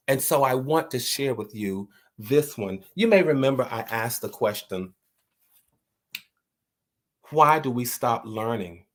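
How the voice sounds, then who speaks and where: A man talking earnestly to his viewers about writing and then reading one of his own poems aloud in a slow, measured way. He encourages his listeners and often repeats words while he searches for the right ones. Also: medium pitch. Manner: slow, measured recital when reading the poem. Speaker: poet and channel host.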